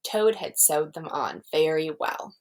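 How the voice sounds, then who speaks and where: A woman's voice: low-pitched, expressive, a little slow, a little mellow. Woman teaching and reading to children. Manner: simple explanations addressed to children. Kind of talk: children's lesson and storybook read-aloud.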